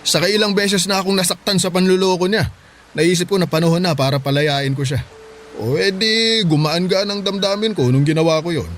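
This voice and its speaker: A man's voice: sits high, brisk, fairly bright tone, soft background music. A man playing a hardworking middle-aged father in a Filipino radio drama, plain-spoken and reassuring, with a Filipino accent. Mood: reflective, advising. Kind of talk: radio drama acting in Tagalog.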